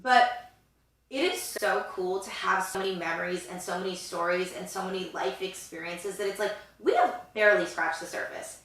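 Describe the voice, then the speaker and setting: Young woman in her twenties, bright voice, rambling casual chat, roomy echo, mic set back. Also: fairly low pitch, normal speed, far from the mic. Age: 20s. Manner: chatty, rambling with many fillers. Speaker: podcast co-host.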